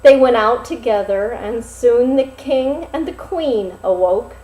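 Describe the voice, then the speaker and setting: A woman narrates a storybook tale in a fairly high voice. She takes her time, phrasing each part deliberately with dramatic pauses. The recording is roomy and echoing. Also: dark tone. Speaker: storyteller reading a fairy tale aloud.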